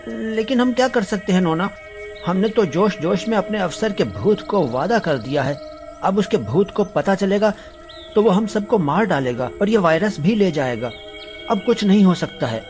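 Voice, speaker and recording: A man voicing a timid, fawning scientist in an animated story, nervous and prone to stammering. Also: high-pitched, normal speed, music in the background. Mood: worried. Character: timid, fawning male scientist eager to please. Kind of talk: animated Hindi story voice acting.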